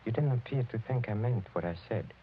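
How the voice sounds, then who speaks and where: An actor playing a suave, sinister aristocratic count with deliberate menace in a 1940s radio suspense play. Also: male voice, medium pitch, expressive, close to an everyday voice. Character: sinister, courteous aristocratic count, mad with witchcraft. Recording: muffled, noisy old radio recording.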